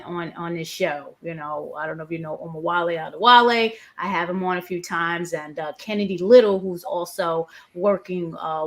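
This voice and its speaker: A woman hosting a live online interview, enthusiastically affirming her guests and introducing topics. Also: lowish voice. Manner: enthusiastic, affirming, warm praise. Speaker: host.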